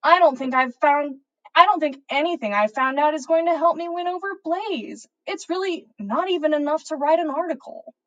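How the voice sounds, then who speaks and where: Woman as a high, plaintive teenage witch. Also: moderate pace, a bit bright, muffled recording. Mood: whiny, exasperated. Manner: plaintive, theatrical. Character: earnest, dramatic teenage witch schoolgirl.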